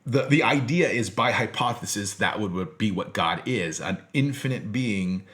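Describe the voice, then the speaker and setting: A man's voice: lowish voice, animated, normal speed, a bit bright. An American man giving an informal philosophy lecture in a conversational, emphatic way, as a teacher presenting to an audience he addresses directly.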